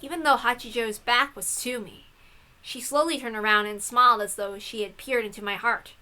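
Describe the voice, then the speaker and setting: Bright, high-voiced woman narrator. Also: steady tone, normal speed. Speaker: story reader. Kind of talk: dramatic narration of a visual novel.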